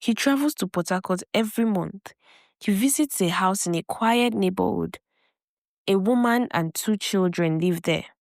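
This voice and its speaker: A woman's voice: mid-range voice, moderate pace, vocal fry, darkish tone, no room sound, close to an everyday voice. A discreet private investigator , read by a woman, reports in a matter-of-fact storybook voice. The recording is close and dry. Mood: serious.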